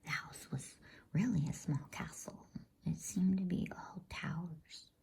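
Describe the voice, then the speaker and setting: A woman reading a storybook as ASMR narration in a hushed, soothing, unhurried whisper close to the microphone. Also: fairly low pitch, creaky. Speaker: bedtime story reader.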